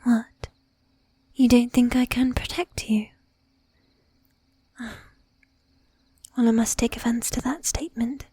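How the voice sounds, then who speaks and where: Woman whispering as a breathy, flirtatious lady vampire. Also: a little high, level tone, dark tone, no echo, heavily acted. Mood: mock offended boasting. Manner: coaxing, affected old-fashioned formality, drawn-out teasing. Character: bossy, flirtatious, predatory lady vampire, sweetly menacing. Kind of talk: whispered ASMR roleplay. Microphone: close ASMR microphone.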